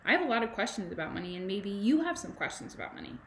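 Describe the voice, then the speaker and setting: A woman, a kindergarten teacher reading to children and adding chatty, animated explanations and questions in simple words. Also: medium pitch, racing, slight background noise. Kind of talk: children's read-aloud with chatty asides.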